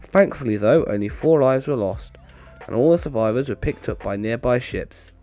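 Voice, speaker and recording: A man narrating in a wry, deadpan way, his voice slightly off as he recovers from a bad cold. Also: mid-range voice, normal speed, lo-fi sound. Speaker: video creator and narrator. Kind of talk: scripted narration with dry humour, casual outro.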